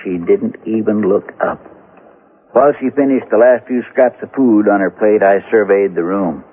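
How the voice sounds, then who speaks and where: An actor playing a sober, plain-spoken American sheriff, telling his story in a measured old-time radio drama style. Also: fairly low pitch, close to an everyday voice. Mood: brooding, suspenseful. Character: sober western sheriff recounting his investigation. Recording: tinny radio recording, faint music.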